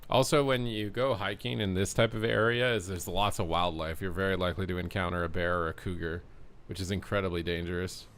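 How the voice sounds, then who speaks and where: A male game streamer with a low voice. He chats casually with his viewers, rambling and padding his sentences with fillers. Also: fairly even intonation, brisk, fairly bright tone. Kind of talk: casual live-stream chat.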